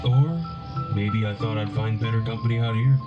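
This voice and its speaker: A man's voice: on the low side, fairly even intonation, normal speed, lightly acted. A man playing a roguish soldier in casual, teasing radio drama dialogue. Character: roguish soldier, teasing a former academy sweetheart. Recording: echoey, dull, loud music behind.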